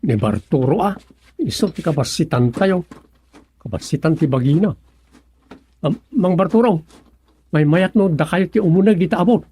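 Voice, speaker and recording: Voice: mid-range voice, lively intonation, moderate pace, pauses often, dark tone, husky. An actor playing a wary younger villager in an Ilocano radio comedy drama, questioning and urging in an Ilocano accent.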